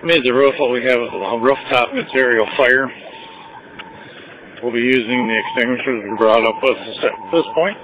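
A man's voice: medium pitch, normal speed, dry recording. A man working as a firefighter, giving status reports over a two-way radio. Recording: dull, slightly noisy fireground radio.

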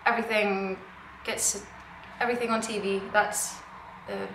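A young woman from Norway whose English carries a British-tinged accent, chatting and giggling to the camera. Her voice sits in a middle pitch and comes with a roomy echo from a dim living room. There is a faint hum of ambience behind her. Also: very animated, measured pace. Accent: Norwegian with British English. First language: Norwegian. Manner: giggly, chatty, self-deprecating. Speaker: knitwear designer vlogger. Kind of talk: casual chat to camera.

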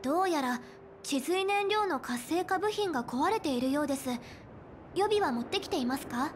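A woman's voice: high voice, expressive, normal speed, noisy background. A woman voicing a bookish, earnest young woman in an anime game, speaking politely and precisely. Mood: earnest, reassuring. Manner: polite formal speech, precise, studious.

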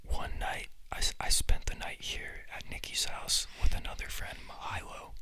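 A man's voice: normal speed, sharp tone. A young man whispering as he casually tells stories to listeners, full of fillers, recorded on a phone at a friend's house. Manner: chatty and giggly, many fillers, whispering throughout. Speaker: podcast host.

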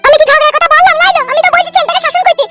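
A scolding village wife from a comedy cartoon. Her voice is extremely high and shrill, and she nags and complains at a quick pace with music behind. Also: fairly even intonation. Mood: nagging, aggrieved. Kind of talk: amateur comedy cartoon dialogue. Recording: music bed behind dialogue.

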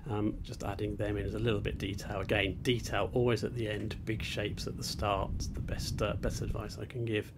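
A man's voice: lowish voice, level tone, fairly quick, vocal fry, hardly any pauses, background noise. A man explaining an ink sketching demonstration outdoors on a beach, talking hesitantly with many fillers and restarts. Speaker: art teacher. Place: outdoors on a beach, seated on rocks.

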